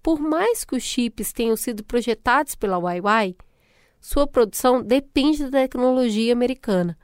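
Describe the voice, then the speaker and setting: A woman's voice: fairly high pitch, moderate pace, smooth, no echo, studio quality. A Brazilian woman co-hosting a podcast, reading scripted news segments and chatting playfully with guests. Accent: Brazilian Portuguese. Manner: lively, playful slang. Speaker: podcast co-host. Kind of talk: scripted news narration and casual chat.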